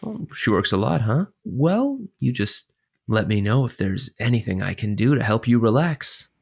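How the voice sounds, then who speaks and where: Figure: gravelly, flirty male radio boss. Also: mid-range voice, very animated, moderate pace, exaggerated voice. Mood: smarmy, flirtatious. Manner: smarmy, chummy. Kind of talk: scripted audio comedy.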